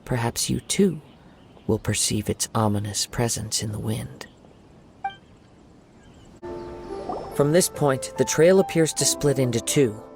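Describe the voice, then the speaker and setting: An actor playing a calm, thoughtful young wandering swordsman in a video game, with measured, formal phrasing. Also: medium pitch, gravelly, over music, close to an everyday voice. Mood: calm, observant.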